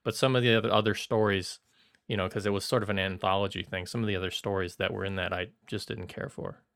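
Co-host, man, low smooth voice, dry close sound, repeats himself. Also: steady tone, quick pace, a bit bright, no room sound. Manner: stumbling, repeats words. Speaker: film podcast co-host. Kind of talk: casual film review chat.

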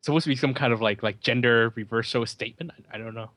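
A man in a dry, close recording talks casually over a movie. His delivery is joking and sardonic, halting now and then as he repeats words. His pitch sits in an ordinary male range. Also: fairly bright tone, no room sound. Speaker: film commentary podcast host. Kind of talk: casual chat, running movie commentary.

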